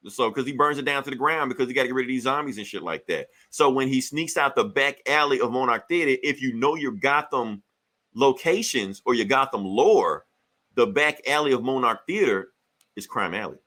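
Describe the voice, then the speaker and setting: Male podcast host, bright forceful voice, loose slangy comic-review chat with plenty of swearing. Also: mid-range voice, brisk. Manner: profane, slangy, animated retelling.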